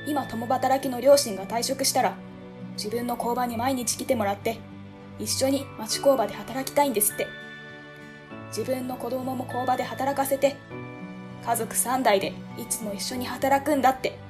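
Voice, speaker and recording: A woman's voice: on the high side, fairly even intonation, normal speed, music in the background, close to an everyday voice. A woman playing a polite, earnest young ward office clerk who explains things carefully.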